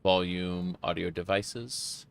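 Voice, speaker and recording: A man's voice: deep voice, steady tone, slow, vocal fry, smooth. A man doing casual live gameplay commentary as a streamer, reacting off the cuff. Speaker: game streamer. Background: game music.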